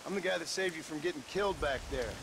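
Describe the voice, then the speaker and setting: Western game lead: terse American gunslinger, dry delivery, slightly raised pitch, clear background noise. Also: male voice, quick pace. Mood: threatening. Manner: dry, blunt, understated. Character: gruff, laconic, menacing cowboy gunslinger. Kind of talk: video game western cutscene acting.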